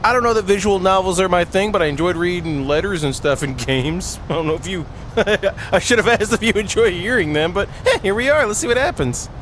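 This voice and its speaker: A man's voice: sits high, fairly quick, slight background noise. A man hosting a gaming video, reading aloud and breaking off for playful, jokey commentary. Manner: self-interrupting, jokey, animated.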